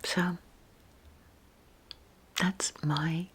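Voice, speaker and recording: An older woman speaks in a very breathy, soft whisper with a low pitch. She rambles informally in a personal, ASMR style meant for relaxing, taking her time and gently putting herself down. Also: level tone. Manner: unhurried, informal, self-deprecating. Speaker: YouTube video creator.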